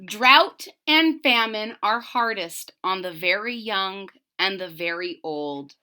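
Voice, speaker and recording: A woman reading a children's story aloud expressively, deliberately, with explanatory asides to young listeners. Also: mid-range voice, animated, bright, forceful tone, smooth.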